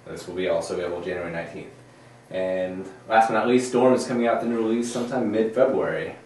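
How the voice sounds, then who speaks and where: A low-pitched man in his thirties reads through a list of new products in a steady, agreeable way. The sound is distant and echoey, as if from a camera across a studio room, with faint noise underneath. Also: fast. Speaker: co-host. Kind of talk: casual product rundown. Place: studio room with a bowling-lane backdrop. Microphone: far camera.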